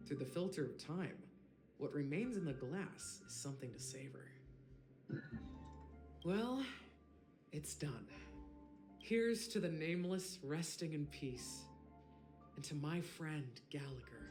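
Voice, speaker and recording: Game bartender: a composed, contemplative woman with measured, reflective delivery over background music. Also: sits low. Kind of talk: scripted video game dialogue.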